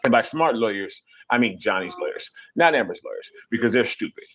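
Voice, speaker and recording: A man ranting on a casual live stream, animated and profane, mocking people with sudden high mimicking voices. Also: brisk.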